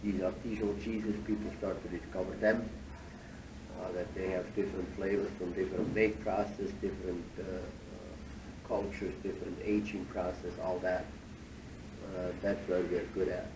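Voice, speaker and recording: A deep-voiced man leads a factory tour and explains things with plenty of "uh"s and slightly foreign phrasing. He is picked up from a distance in an echoey factory hall, and steady machinery noise runs under his voice. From Switzerland. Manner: unhurried, many fillers, slightly foreign phrasing. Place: cheese factory floor.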